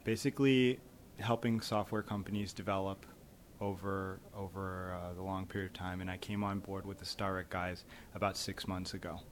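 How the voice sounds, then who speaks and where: American guy, company boss, low steady voice, faint noise behind. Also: normal speed, few pauses. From United States. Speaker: company CEO guest. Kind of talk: casual interview answers. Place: conference summit venue.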